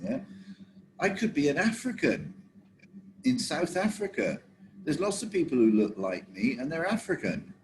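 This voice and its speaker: A man's voice: a little high, wildly swooping pitch, normal speed, long pauses, background noise. A middle-aged man giving a training lecture to travel agents, talking conversationally and often checking in with his listeners.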